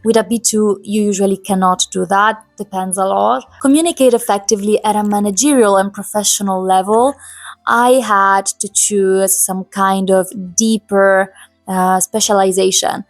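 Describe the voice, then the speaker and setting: A woman's voice: mid-range voice, steady tone, moderate pace, no echo, faint music behind. A woman in her twenties, a native Italian and Polish speaker, hosting a casual vlog in a chatty, emphatic, personal way. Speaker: host. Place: home in Poland.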